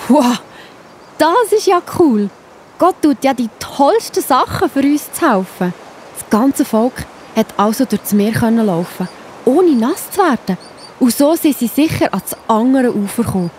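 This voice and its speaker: High-pitched Swiss woman telling children's stories. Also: a little hiss or hum. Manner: expressive, enthusiastic, simple child-directed phrasing. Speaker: narrator. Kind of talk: children's Bible storytelling. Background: music behind the voice.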